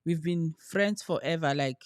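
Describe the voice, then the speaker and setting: An earnest woman co-hosts a relationship podcast, talking her points through in casual chat and pausing to search for words. The sound is dry and close, with no room echo. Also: low-pitched. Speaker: podcast co-host.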